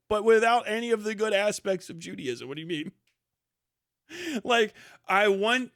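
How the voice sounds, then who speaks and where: A man's voice: high-pitched, measured pace, fairly bright tone, dry recording, studio quality. A man doing casual, off-the-cuff live commentary on the news in a blunt, sardonic, rambling and argumentative way, with some swearing.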